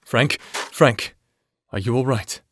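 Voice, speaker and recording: A man's voice: mid-range voice, takes it slowly, a little hiss or hum, natural voice. A man playing an earnest, principled prisoner in a fantasy audio drama, speaking in formal, careful words. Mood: weary, caring. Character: earnest, principled male prisoner, cautious and determined. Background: music.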